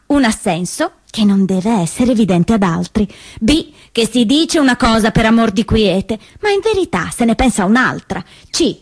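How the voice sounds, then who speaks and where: A woman narrating a scripted story as a solo theatre performer, expressive and deliberate in her telling. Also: fairly high pitch. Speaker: solo stage actress and storyteller.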